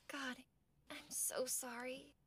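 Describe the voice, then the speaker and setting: Girl acting a fussy caring palace lady in an audio drama, really high voice, super dry recording. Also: level tone, extremely slow, sharp tone, slightly rough, no echo, full character voice. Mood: sympathetic. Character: female palace attendant, pleading, fussy, caring friend.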